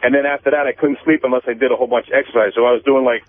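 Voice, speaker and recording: A man's voice: medium pitch, fairly even intonation, racing, no echo. A man calling into a radio show over a phone, casually telling personal stories in a rambling, profane, repetitive way. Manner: rambling, profane, repeats himself. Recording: tinny phone line.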